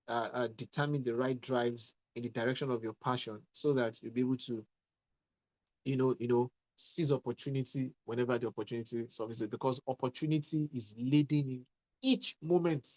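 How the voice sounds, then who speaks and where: A man's voice: medium pitch, rough recording. A man leading an online mentorship session, teaching in an emphatic, motivational way and often repeating his points. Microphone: online call audio.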